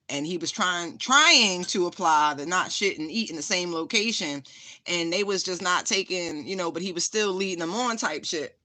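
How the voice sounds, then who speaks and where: Bright-voiced, profane tarot reader. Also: medium pitch, dry recording. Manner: frank, profane, laughs often; repeats phrases for emphasis. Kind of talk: casual spiritual reading, chatty asides.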